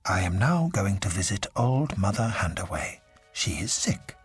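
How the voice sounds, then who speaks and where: A man's voice: sits low, big pitch swings, unhurried, music in the background, voice pushed down. A man playing a stern commanding pirate vicar. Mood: urgent.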